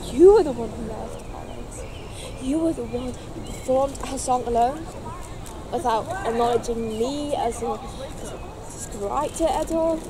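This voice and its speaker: A young woman acting a hurt, defensive former songwriting partner in a live play, speaking haltingly and emotionally. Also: fairly high pitch. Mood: angry, defensive. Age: young adult. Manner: halting, emotional, repeating herself. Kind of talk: live theatre dialogue. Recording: very noisy outdoor location recording.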